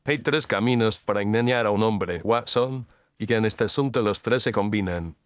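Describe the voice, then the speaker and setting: A man's voice: on the low side, moderate pace, no room sound, lightly acted. A man playing a cool, exacting detective who speaks in precise, commanding pronouncements. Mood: measured. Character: cool, exacting male detective, middle-aged. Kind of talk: radio drama acting.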